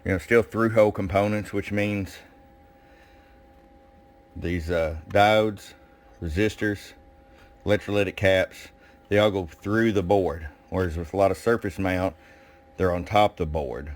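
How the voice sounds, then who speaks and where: A man's voice: sits low, fairly even intonation, unhurried, long pauses. A man giving a casual, plain-spoken hands-on demonstration as a radio technician.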